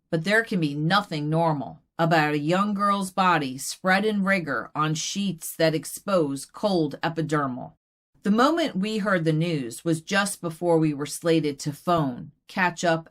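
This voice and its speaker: A woman plays an earnest doll poet at a reading. She recites very slowly in a lowish voice, deliberate and measured with each line. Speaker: poet reading own work. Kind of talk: poetry recitation.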